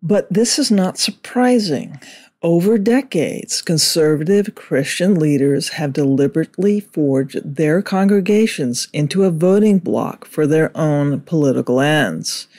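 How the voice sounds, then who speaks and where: A man reading a scripted political commentary in a measured, indignant way. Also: a little high, expressive, darkish tone.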